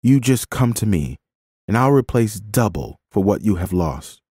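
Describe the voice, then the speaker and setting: A man's voice: on the low side, sing-song, measured pace, dark tone, breathy edge, no room sound, clean studio sound, heavily acted. A man voicing a firm, compassionate, pious woman who speaks earnestly in a dramatised story. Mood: earnest appeal. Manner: earnest, righteous, exhorting.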